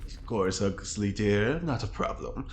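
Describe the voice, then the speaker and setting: A man's voice: fairly low pitch, lively intonation, measured pace, slight background noise. A man playing a courtly, playful old-hand heist schemer in an audio drama, relishing every word. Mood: genteel, obliging. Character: gleeful, genteel, theatrical male ex-heist schemer.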